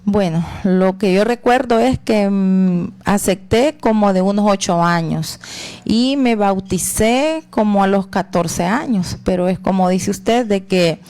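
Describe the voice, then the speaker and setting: Salvadoran woman sharing her faith story, gentle and reflective, voice of medium pitch. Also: unhurried. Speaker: guest giving testimony. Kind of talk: personal testimony.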